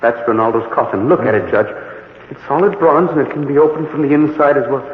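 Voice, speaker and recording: An actor playing an earnest, fearful, easily shaken assistant, in the theatrical style of old-time radio drama. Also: male voice, medium pitch, fast talker, natural voice. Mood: frightened. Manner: urgent, hesitant, theatrical. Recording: tinny, echoey, noisy old radio recording.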